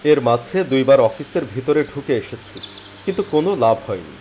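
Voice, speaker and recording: A man playing a weary, penniless job seeker who tells his story in expressive, plaintive dramatic narration. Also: medium pitch, normal speed, slight background noise, natural voice. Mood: weary, reflective. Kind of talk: first-person dramatic story narration and character dialogue. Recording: music behind the voice. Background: background music.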